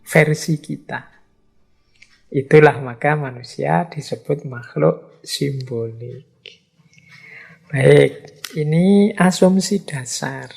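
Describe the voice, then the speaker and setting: A middle-aged man with a slightly hoarse voice, giving a conversational philosophy lecture and acting out everyday remarks. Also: medium pitch, a little mellow.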